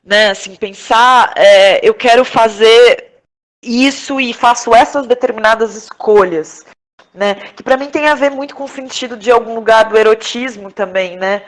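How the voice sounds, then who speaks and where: A Brazilian woman from Porto Alegre speaking reflectively as an interview guest, halting and searching for her words. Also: on the high side, low-quality recording. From Porto Alegre, southern Brazil. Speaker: podcast guest, theatre director, playwright and actress.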